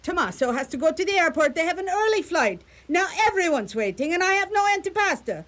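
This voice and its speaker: A woman's voice: extremely high pitch, big pitch swings, fairly bright tone, heavily acted. A woman playing a bossy older Italian mother with an Italian accent, scolding in a radio comedy. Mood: exasperated, bossy. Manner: scolding, exasperated, rapid questions. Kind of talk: live radio comedy drama acting.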